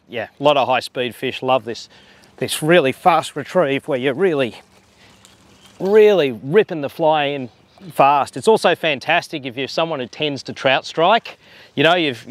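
Fishing guide demonstrating casting technique outdoors. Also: male voice, on the high side, dry recording. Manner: relaxed explaining with hesitations. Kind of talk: instructional demonstration. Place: outdoors on a harbor dock.

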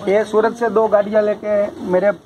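A man's voice: sits high, little pitch movement, normal speed. An older man, casually narrating a family vlog outdoors on a handheld phone. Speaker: vlog host, farm owner. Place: open-air farm yard. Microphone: handheld phone, behind the camera. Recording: noisy outdoor phone video.

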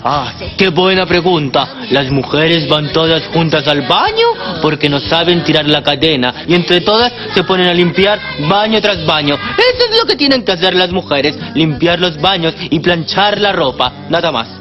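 A bigoted male mole puppet, holding forth in a brash, matter-of-fact way. His voice sits a little above average pitch. The TV sound is dull and lo-fi, with music playing behind him. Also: very animated, normal speed, few pauses. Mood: smug.

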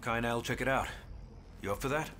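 An actor playing a serious, level-headed rogue cop who talks tersely in a video game. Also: male voice, mid-range voice, fast talker, pushed and bright, a little hiss or hum, lightly acted. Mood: inquisitive. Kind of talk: video game cutscene acting.

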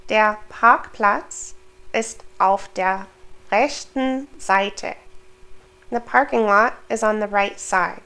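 Slow, careful woman teaching vocabulary. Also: on the high side, gravelly, fairly bright tone. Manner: reads each word and example sentence slowly, then gives the English. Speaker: German language teacher. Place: small room indoors. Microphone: studio microphone on boom arm.

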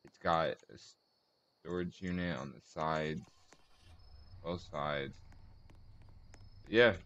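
A man giving casual video commentary as host of a car tour, speaking in halting short phrases and correcting himself. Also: low voice, dull audio.

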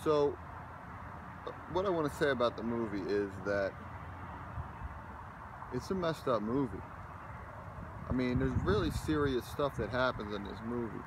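A man in his thirties gives a casual, rambling movie review, filmed on a phone at arm's length in a parking lot. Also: medium pitch. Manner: rambling, loose, many fillers and restarts. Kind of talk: casual selfie video review. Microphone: phone camera held close at arm's length. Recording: outdoor phone recording with clear background noise. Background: outdoor ambience with passing vehicles.

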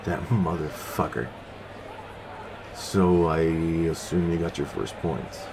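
An actor playing a gruff, weary, protective middle-aged officer and father in a science fiction audio drama. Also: sits low, fairly even intonation, slow, background noise. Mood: angry, despairing.

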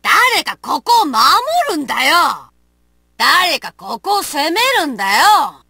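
A woman voicing a brash, rough-talking young tomboy warrior in a video game, with slangy, masculine phrasing. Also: high-pitched, big pitch swings, slow pace, sharp tone, dry recording, clean studio sound, heavily acted. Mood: gruff, urging. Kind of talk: video game battle voice lines.

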